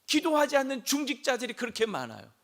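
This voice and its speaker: Hoarse, gravelly man preaching. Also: extremely high pitch, wildly swooping pitch, fast talker. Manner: rhetorical questions, rising to shouts. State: hoarse from a throat cold. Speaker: senior pastor. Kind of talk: sermon.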